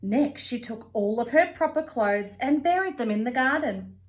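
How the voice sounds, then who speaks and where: A woman reading a children's picture book aloud as a storyteller, with an expressive, deliberate delivery. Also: fairly high pitch. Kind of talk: read-aloud for young children.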